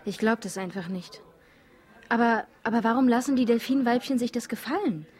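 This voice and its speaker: Feisty young student girl in a german radio play, teasing, sharp tongue, highish voice. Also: brisk, slightly rough. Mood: questioning, appalled. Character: spirited, sharp-tongued young woman student among male friends. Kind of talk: German radio drama acting.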